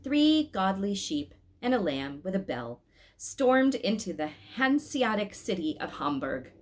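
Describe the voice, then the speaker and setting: Animated woman reading a story aloud. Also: mid-range voice, unhurried. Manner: animated, addresses listeners with asides. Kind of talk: expressive informal read-aloud narration.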